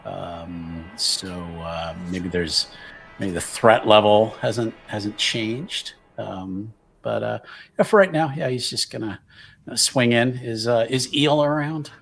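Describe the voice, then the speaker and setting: A man's voice: on the low side, slow, gravelly, a bit bright, slightly rough. A man playing a tabletop roleplaying game, narrating casually and hesitantly as he thinks aloud.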